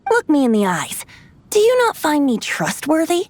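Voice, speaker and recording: A woman's voice: high-pitched, wildly swooping pitch, moderate pace, no echo. A woman playing a cheerful, cheeky young male bard in an anime game, with a playful, boastful, theatrical manner. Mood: confident, playful.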